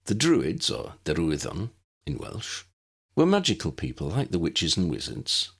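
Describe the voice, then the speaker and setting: A man with a gravelly, husky voice reading scripted story narration. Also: low voice, wildly swooping pitch, unhurried. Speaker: narrator, audiobook reader.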